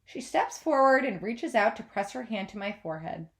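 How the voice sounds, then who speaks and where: A woman reading a children's novel aloud as a teacher, with expressive scripted narration. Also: on the high side, normal speed.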